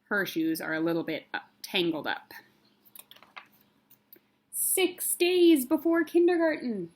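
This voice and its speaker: A woman reading a picture book as a pre-K teacher, expressive, with simple explanatory asides for young children. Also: on the high side, takes it slowly.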